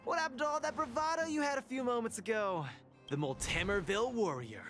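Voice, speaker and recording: A man's voice: very high voice, expressive, a little fast, fairly bright tone. An actor playing a cheerful, boastful young male boxing champion in a video game, showy and upbeat. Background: game music.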